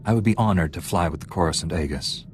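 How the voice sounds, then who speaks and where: A man playing a calm, self-assured Jedi knight hero in a video game. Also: low-pitched, quick pace, slight background noise, natural voice. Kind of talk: video game character acting. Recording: music behind the voice.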